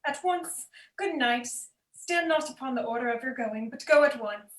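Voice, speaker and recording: Girl doing shakespeare queen, anxious but firm, formal, higher voice, roomy echo. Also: moderate pace, close to an everyday voice. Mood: urgent, dismissive. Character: anxious, controlled queen managing her unstable husband. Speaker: student performer. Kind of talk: Shakespearean radio play acting. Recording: echoey room.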